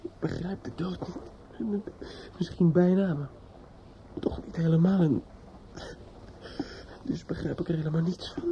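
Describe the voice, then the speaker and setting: Muffled noisy old radio drama, anguished young man, halting, repetitive, frightened monologue. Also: a little high, steady tone. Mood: anguished, bewildered. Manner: halting, stammering, repeating himself. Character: despairing young man terrified and grieving, talking to himself.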